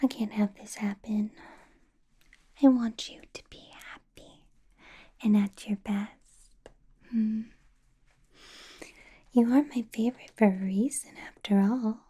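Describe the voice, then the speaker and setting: A woman playing a possessive, doting vampire boss in an ASMR roleplay, whispering in a breathy, teasing, intimate way. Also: a little high, steady tone, mellow, full character voice. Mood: doting concern. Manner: slow, teasing, intimate personal-attention delivery.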